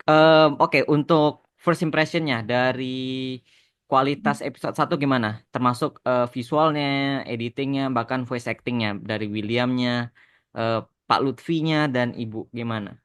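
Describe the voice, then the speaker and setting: A man's voice: medium pitch, steady tone, a little slow, fairly bright tone, smooth, dry recording, clean studio sound. An Indonesian man hosting a casual podcast interview, repeating short phrases and slipping in bits of English. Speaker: podcast host and animation creator.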